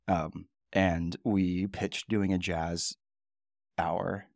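A man in his thirties co-hosting a podcast in casual, rambling chat, his voice low and groggy from the morning. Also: drawn-out words, gravelly, no room sound, studio quality. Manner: relaxed, rambling, chatty with fillers. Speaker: podcast co-host.

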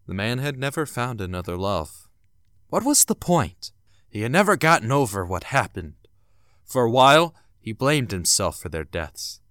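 A man's voice: mid-range voice, fairly bright tone, no echo, clean studio sound. A man narrating a scripted audio drama in a dramatic, theatrical storytelling manner. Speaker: narrator and announcer. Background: music.

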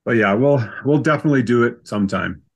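A man's voice: medium pitch, quick pace, creaky. A man with a Canadian accent telling stories casually as an interview guest, in an easygoing, self-deprecating way. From Canada, southern Saskatchewan. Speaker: podcast guest.

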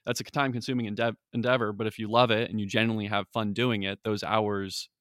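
Eager young man with a low voice. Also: flat delivery, fast talker, fairly bright tone, dry recording, studio quality. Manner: enthusiastic, conversational. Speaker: research analyst guest. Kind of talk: interview answers.

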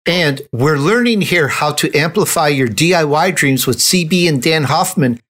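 A man's voice: fairly high pitch, animated, moderate pace, a bit bright. A man hosting a casual interview in a playful, teasing way. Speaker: interview host.

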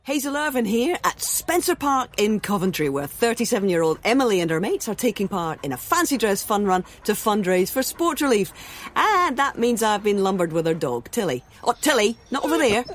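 A woman presenting a scripted comic ad as mock race commentary, with a lively commentator's delivery. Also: medium pitch, a little fast, slight background noise. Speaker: sports presenter in an ad.

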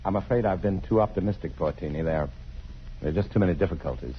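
An actor playing a restless, evasive husband and engineer in love, in a halting, earnest 1950s radio drama style. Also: male voice, low-pitched, slight background noise, natural voice. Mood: evasive, reluctant. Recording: muffled vintage radio broadcast, faint music.

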